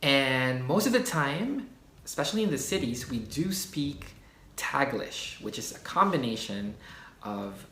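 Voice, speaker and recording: A man with a Filipino accent explaining things in a relaxed, casual way, with many fillers and restarts. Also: mid-range voice, measured pace. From Philippines. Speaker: video host. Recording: echoey room.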